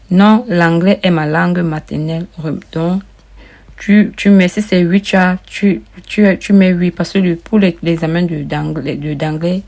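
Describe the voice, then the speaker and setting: A woman with a lowish, gravelly, mellow voice talks viewers through an online form. She repeats herself often and calls her audience friends. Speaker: immigration advice vlogger. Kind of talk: casual walkthrough of an application form.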